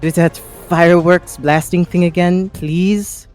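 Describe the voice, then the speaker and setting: A woman voicing a caring, gently teasing fantasy adventurer in a plain, earnest way. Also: on the low side, steady tone, slow, faint music behind, voice pushed up. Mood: urgent.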